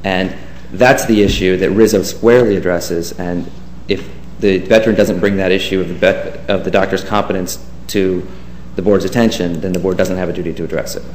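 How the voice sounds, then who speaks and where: A man's voice: low voice, steady tone, fairly quick, hardly any pauses, a little mellow. A man giving a formal, deferential courtroom argument as an attorney. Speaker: government attorney. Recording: echoey courtroom with faint hiss.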